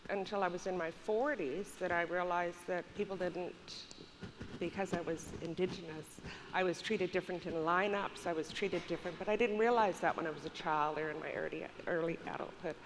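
An older woman speaking plainly and softly about her own life as a panelist on stage. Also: on the low side, steady tone, fairly quick. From Vancouver, Canada. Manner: plain, soft-spoken, personal. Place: large stage studio with audience. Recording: long hall reverb with faint noise.

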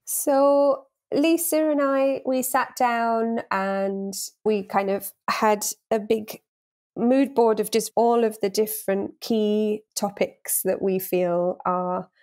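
A woman answering an interview question in an unhurried way, with pauses between phrases. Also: mid-range voice, a little mellow. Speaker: guest.

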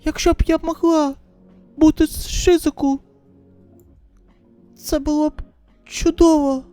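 A woman's voice: sits high, little pitch movement, unhurried, mellow, no echo, faint music behind, pitched above the performer's own voice. A woman voicing an anxious, tender teenage girl in an emotional, pleading manner for a dramatic manga voice-over. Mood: wistful.

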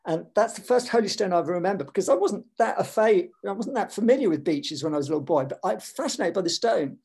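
An older man talking on a video call from his home, an animated and digressive speaker with many restarts in a reflective literary conversation. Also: high-pitched, fast, vocal fry, breathy edge. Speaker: author in panel conversation. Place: home room with shelves.

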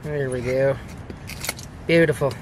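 A man in his thirties chats to the camera from inside a tent at night, casual and quick to exclaim. He cracks jokes as he goes. Wind and outdoor noise come through clearly behind him. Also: medium pitch. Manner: excitable, exclaiming, joking. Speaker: camping vlogger. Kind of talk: casual solo vlog narration.

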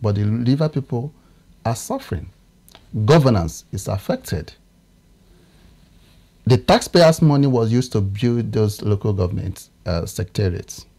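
A man in his thirties with a Nigerian English accent, a political analyst talking in a TV studio, often pausing to search for words. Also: on the low side, expressive, dark tone. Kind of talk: studio discussion.

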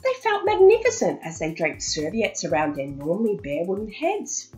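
Expressive woman storyteller, lively pitch. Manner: expressive, dramatic emphasis. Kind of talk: children's storybook read-aloud.

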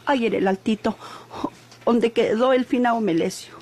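A woman's voice: on the high side, moderate pace, darkish tone, lightly acted. Woman acting a sombre Mexican country woman. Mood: sombre, grieving. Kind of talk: Mexican golden-age radionovela acting. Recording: noisy old radio drama recording.

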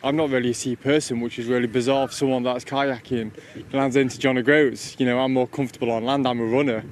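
A veteran team member answering interview questions in a plain, conversational way. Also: male voice, mid-range voice, little pitch movement, fast, slight background noise.

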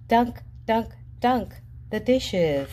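A woman reading a children's picture book aloud in a lively, sing-song storybook voice with playful jumps in pitch. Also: extremely slow. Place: indoors at a table, reading an open book. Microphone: camera above the book, reader unseen.

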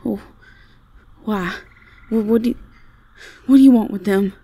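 Actress as gentle Southern US farm girl for fantasy roleplay, slow delivery with some creak. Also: mid-range voice, no room sound. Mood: frightened. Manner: folksy, gentle, hesitant. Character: sweet young Southern belle farm woman. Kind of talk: fantasy audio roleplay acting.

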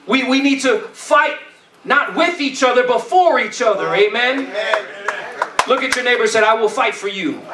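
A middle-aged male pastor preaches with a very high, forceful voice. He exhorts in plain colloquial phrases, repeating them for emphasis and checking in with "amen?". The sound is distant and echoey, from a church stage. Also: lively intonation, normal speed, a little hiss or hum. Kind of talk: sermon.

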